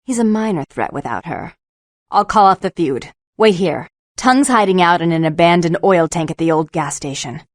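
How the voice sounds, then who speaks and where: A woman's voice: on the low side, expressive, normal speed, dry recording, clean studio sound, natural voice. A woman playing a prim, cold, controlling businesswoman in a video game, speaking in a clipped, formal, haughty way. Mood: cool, commanding.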